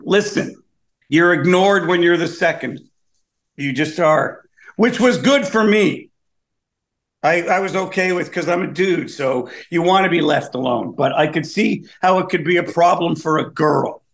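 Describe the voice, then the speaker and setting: Canadian guy, comedian, kinda whiny wry storytelling, slightly high voice. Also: unhurried, fairly bright tone. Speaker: comedian guest. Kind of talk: casual interview banter.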